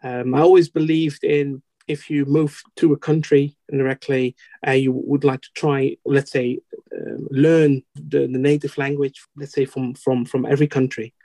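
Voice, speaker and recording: Hesitant Dutch man, flat even voice. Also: mid-range voice, moderate pace, dry recording. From Netherlands. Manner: hesitant, fillers and restarts, many "let's say". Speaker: podcast guest. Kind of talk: casual interview answers.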